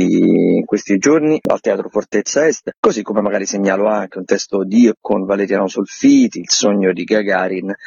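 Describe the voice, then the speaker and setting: A man's voice: on the low side, gravelly, a little mellow, slightly rough, no room sound, highs cut off. A man, a theatre critic on radio, going through event listings in a casual, hesitant, rambling way. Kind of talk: semi-scripted listings rundown on radio.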